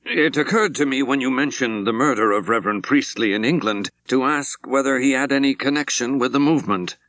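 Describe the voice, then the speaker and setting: A man voicing a troubled senior bishop in an audiobook, speaking in a formal, hesitant, deliberate way. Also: mid-range voice, a bit bright, dry recording, voice pushed up, lightly acted, rough recording. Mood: troubled, uneasy.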